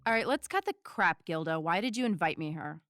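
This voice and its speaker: A woman's voice: lowish voice, lively intonation, a little fast, a bit bright, dry recording, clean studio sound, soft background music. An actress playing a fed-up, brusque and sarcastic female sidekick in an audio drama. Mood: irritated, sarcastic. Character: blunt, sarcastic, fed-up cyborg-armed sidekick woman. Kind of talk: scripted audio drama acting.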